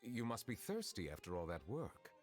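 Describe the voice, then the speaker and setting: An actor playing a calm, formal male chief justice who speaks politely and with measured care. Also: fairly low pitch, loud music behind the voice.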